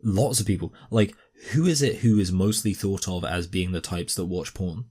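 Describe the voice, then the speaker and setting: A very low-pitched man hosts a commentary, pressing his points hard. His speech is full of "uh"s, "like"s and restarts. A slight cough sits in his voice. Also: normal speed, darkish tone, breathy edge. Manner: emphatic, many fillers and restarts. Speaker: commentary host. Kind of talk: argumentative commentary on a video.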